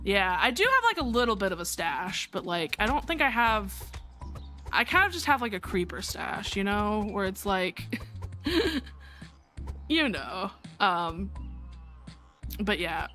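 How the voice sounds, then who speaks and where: A woman's voice: medium pitch, sing-song, slow, pauses often, pushed and bright. A young adult woman streaming a game, rambling candidly in casual chat, swearing freely and restarting her sentences often. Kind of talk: casual chat while gaming. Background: faint background music.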